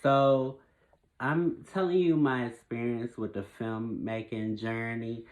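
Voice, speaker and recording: A man's voice: medium pitch, fairly even intonation, slow, darkish tone, rough recording. A man with a head cold, sounding stuffed up, who chats casually to his viewers with many restarts and self-corrections. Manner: laughs at his own jokes. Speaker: filmmaker vlogger. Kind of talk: casual talking-to-camera vlog.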